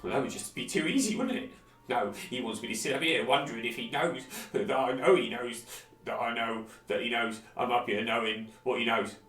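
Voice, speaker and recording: A man's voice: mid-range voice, brisk, fairly bright tone, natural voice. Actor as a paranoid, fretful townsman, roomy sound. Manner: rambling, folksy, fretful. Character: paranoid, agitated townsman hiding upstairs from a paladin. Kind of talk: video game character acting. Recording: roomy, distant, faint music.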